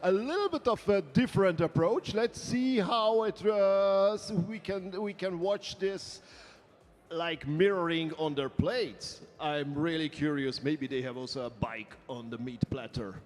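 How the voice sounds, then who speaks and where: A man's voice: on the high side, unhurried. A man hosting a live cooking competition, playful and enthusiastic as he chats with guests in a large hall. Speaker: event host. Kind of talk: live event commentary and interviews. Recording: long echo of a big hall.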